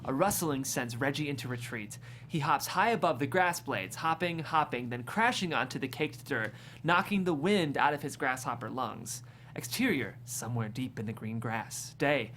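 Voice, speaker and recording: Stage directions reader: mid-pitched, descriptive, amused, scripted comedy narration, slight room noise. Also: expressive, normal speed, a bit bright. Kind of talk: scripted screenplay narration at a table read.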